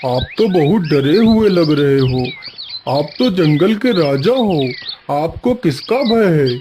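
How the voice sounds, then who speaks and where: A man's voice: fairly high pitch, lively intonation, slow pace, noisy background. A man voicing a curious, unafraid cartoon bear with a familiar, inquisitive manner. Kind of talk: Hindi cartoon story dialogue.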